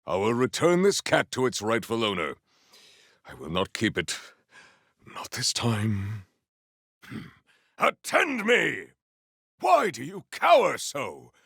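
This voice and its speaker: An earnest, noble warrior hero from a video game. His low voice is gravelly and very unhurried, with formal, stately diction and theatrical flourishes. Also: pushed and bright, no room sound, studio quality, exaggerated voice. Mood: bold, commanding. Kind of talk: scripted video game character lines.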